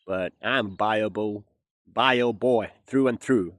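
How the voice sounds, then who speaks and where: A man's voice: on the low side, slow pace, fairly bright tone, dry recording, studio quality. A Finnish man who speaks English with a Southern American twang, chatting casually and rambling through a jokey monologue with plenty of fillers. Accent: Southern American-style English. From Finland. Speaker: vlogger.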